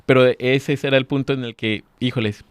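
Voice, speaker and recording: A man's voice: medium pitch, steady tone, brisk, smooth, no room sound. A man, telling his story as a guest in an earnest, searching way. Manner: earnest, restarts words while thinking. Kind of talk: casual conversation.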